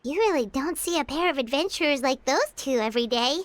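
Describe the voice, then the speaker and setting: A woman voicing a tiny, excitable, childlike female fairy companion in a video game, squeaky and eager. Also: fairly quick, no room sound. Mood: eager, curious. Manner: speaks of self in third person, eager. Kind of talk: video game character acting. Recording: game music under the voice.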